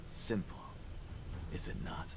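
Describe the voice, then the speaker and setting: A man's voice: slow, music in the background, natural voice. An actor playing a smug, theatrical male schemer in a video game. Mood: smug, musing.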